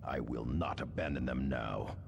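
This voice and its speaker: An actor playing a grim, resolute veteran space marine commander in a game, gravelly, terse and solemn. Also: male voice, rumbling low, normal speed, dull audio, noisy background. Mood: grim resolve. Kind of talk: scripted game dialogue.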